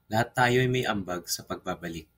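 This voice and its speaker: A man's voice: fairly low pitch, a little fast, fairly bright tone, slight background noise, lightly acted. A man playing an earnest villager in an amateur radio drama. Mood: grateful.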